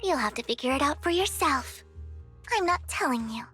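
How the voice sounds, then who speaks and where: A woman voicing a devoted, formal young woman in a video game cutscene, speaking in a hushed, breathy way. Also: sits high, expressive, moderate pace, soft background music. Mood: tender. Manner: hushed, breathy confiding delivery.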